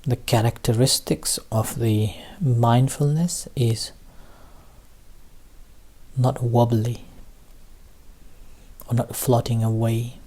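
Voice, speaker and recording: A man leading a guided meditation as a teacher, speaking gently and haltingly in short phrases with long pauses. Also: mid-range voice, steady tone. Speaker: Buddhist meditation teacher leading a group session. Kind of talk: guided meditation instruction, unscripted.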